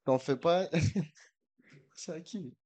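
A man's voice: on the high side, drawn-out words, a little mellow. A man hosting a casual podcast, chatting in slangy mixed with English. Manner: slangy, mixing French and English. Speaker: podcast host. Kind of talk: casual chat, prank-style phone calls.

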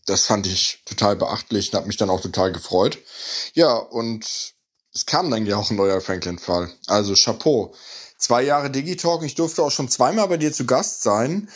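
A man's voice: on the low side, moderate pace. A man giving an informal congratulatory message, chatty and appreciative. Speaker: author and former podcast guest.

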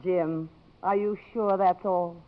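A woman's voice: lowish voice, steady tone, slow. An actress with an American accent playing a frank, earnest, principled woman in a 1950s radio drama. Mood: earnest, indignant. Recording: dull vintage 1950s radio broadcast.

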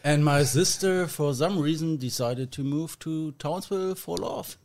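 A man from Germany with a German accent, a podcast guest talking in a halting, self-deprecating way with small grammar slips. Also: fairly high pitch, darkish tone. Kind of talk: casual podcast chat.

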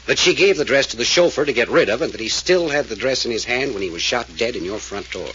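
A man's voice: mid-range voice, animated, fast talker, fairly bright tone, lightly acted. A man playing a blunt, probing private detective in a 1940s radio drama, questioning insistently. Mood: stern. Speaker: hired detective. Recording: dull lo-fi old radio recording with faint hiss.

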